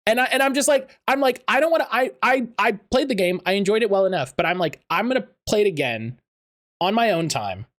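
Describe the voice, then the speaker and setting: A man's voice: high-pitched, animated, a little fast, a bit bright. A man hosting a podcast interview, rambling with lots of fillers and restarts. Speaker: podcast host.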